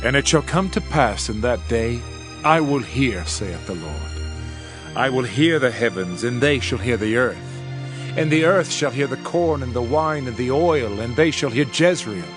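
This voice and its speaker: An actor playing a grave Hebrew prophet who proclaims scripture in a solemn, deliberate, declamatory way. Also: male voice, medium pitch, animated, over music, natural voice. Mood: solemn, earnest. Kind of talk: dramatized King James scripture reading.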